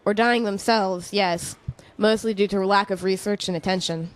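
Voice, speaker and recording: A woman playing a friendly, reflective middle-aged chemistry professor in an audio drama, speaking in a measured, explanatory way. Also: medium pitch, fairly even intonation, lightly acted. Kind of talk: conversational audio drama acting.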